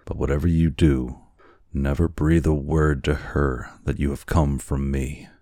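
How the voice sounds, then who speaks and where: Man acting earnest despairing Hawaiian man, storybook character, very deep voice, formal, emphatic, dry close sound. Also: level tone, measured pace, warm tone, no echo. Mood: urgent.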